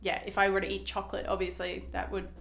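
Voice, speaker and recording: A chatty young woman, Swedish by birth, talks in an American accent full of "like" and "um". Her voice sits at a middle pitch and rings in an echoey room. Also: completely flat delivery, fast talker, gravelly. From Sweden. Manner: chatty, lots of fillers and likes. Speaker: guest. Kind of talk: casual interview chat.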